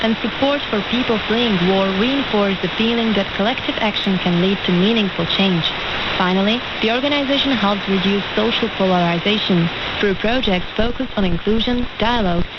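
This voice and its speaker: A woman reading a scripted voice-over translation on shortwave radio. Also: medium pitch. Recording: loud hiss, muffled shortwave radio reception.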